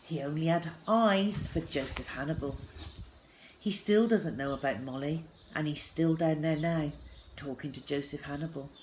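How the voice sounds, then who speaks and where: Woman reading a story, slightly low voice. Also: moderate pace, a little mellow, rough recording. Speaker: storyteller reading a children's novel aloud. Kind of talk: expressive scripted story narration. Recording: clear background noise with birdsong.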